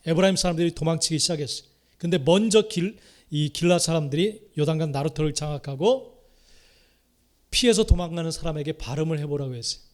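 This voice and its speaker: Middle-aged male Korean pastor, slightly high voice, sermon delivery with repeated phrases and sharp loud-to-soft shifts. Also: fairly quick. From Korea, Chungcheong province. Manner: swings from loud rising exhortation to soft muttered asides; repeats key phrases, calls out hallelujah.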